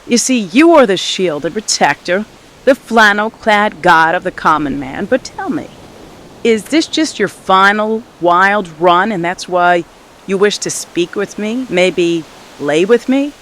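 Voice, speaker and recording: A woman playing an alluring, challenging sea siren in a mythic audio drama, speaking in a deliberate, probing, theatrical way. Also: mid-range voice, expressive, fairly bright tone, slight background noise. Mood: challenging, seductive.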